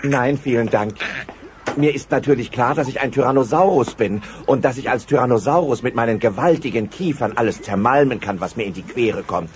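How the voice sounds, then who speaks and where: A man's voice: medium pitch, brisk, natural voice. Shy, stammering tyrannosaurus played by a man. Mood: wistful, earnest. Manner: hesitant, polite, stammering. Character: shy, bumbling, good-natured adult tyrannosaurus family friend. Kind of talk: German audio play acting. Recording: dull cassette sound, faint noise.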